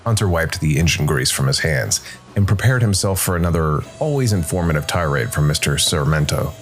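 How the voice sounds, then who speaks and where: A man's voice: rumbling low, lively intonation, a little fast, soft background music. A man hosting a podcast and reading scripted story narration.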